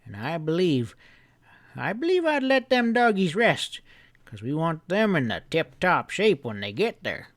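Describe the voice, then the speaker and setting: A man's voice: on the high side, huge pitch swings, measured pace, no room sound, voice pushed up. A man voicing a kindly, folksy old grandfather with a drawling country way of talking, in an audiobook. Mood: eager, encouraging.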